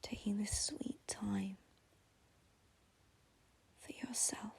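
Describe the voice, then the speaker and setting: A woman leading a guided meditation in a slow, soothing, gentle half-whisper, breathy and husky, with long pauses between phrases. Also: medium pitch, steady tone.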